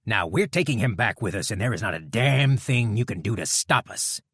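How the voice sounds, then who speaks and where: A man's voice: on the low side, expressive, normal speed, fairly bright tone, husky, no echo, clean studio sound, full character voice. A man voicing a hostile railroad security guard who snarls his threats. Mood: menacing.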